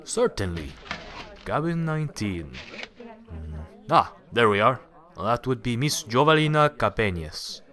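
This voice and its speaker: A man's voice: medium pitch, big pitch swings, unhurried, fairly bright tone. A man voicing a polite, formal ship's purser in an amateur radio play. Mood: courteous. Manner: courteous and formal. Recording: busy crowd behind.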